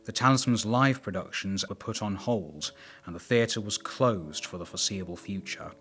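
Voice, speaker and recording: A man's voice: lowish voice, moderate pace, a bit bright, soft background music. A man reading scripted documentary narration.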